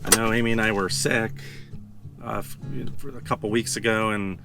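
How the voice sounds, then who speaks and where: A raspy-voiced man with a fairly low pitch talks through a casual travel vlog, rambling and thinking aloud with plenty of fillers. He is outdoors in the desert, and clear music plays underneath. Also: flat delivery, moderate pace. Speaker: travel vlogger.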